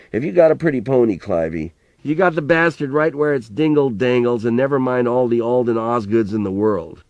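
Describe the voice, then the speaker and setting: A man's voice: mid-range voice, darkish tone, dry recording, muffled recording, pitched above the performer's own voice, close to an everyday voice. A man voicing a coarse, wry old country grandfather who talks in a folksy rural drawl and curses freely. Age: older.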